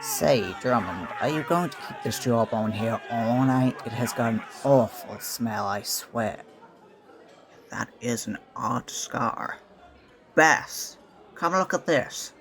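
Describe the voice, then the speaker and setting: A man's voice: medium pitch, animated, takes it slowly, fairly bright tone. A man playing a curious, easily indignant pirate companion in an audio play, asking questions in old seafaring phrases.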